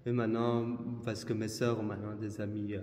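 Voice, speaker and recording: A man from Belgium, in a casual interview, speaking slowly and hesitantly, with many restarts. His voice is dark and mellow. It echoes through a large, roomy hall, with faint ambience behind it. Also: on the low side, flat delivery, slight background noise. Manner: hesitant, restarts often, slow and searching. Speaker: artist interviewed about his work.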